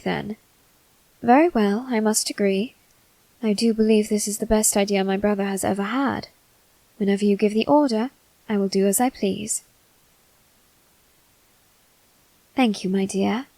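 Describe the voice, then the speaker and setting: A woman voicing a male demon servant in a scripted ASMR roleplay, speaking formally and courteously with soft, flirtatious teasing. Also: medium pitch, steady tone, normal speed, no echo, natural voice, rough recording. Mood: courteous, composed.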